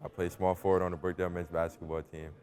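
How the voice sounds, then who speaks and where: A young male athlete from New Jersey gives a brief testimonial about himself. He has a deep, low-pitched voice. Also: little pitch movement, fast, darkish tone. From New Jersey, USA. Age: young adult. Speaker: college basketball player. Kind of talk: self-introduction testimonial.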